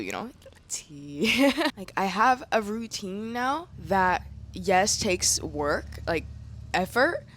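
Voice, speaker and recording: Woman vlogger, slightly raspy, lower register, casual and confessional. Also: a bit bright. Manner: chatty, candid, slangy. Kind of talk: casual chat to camera. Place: room indoors.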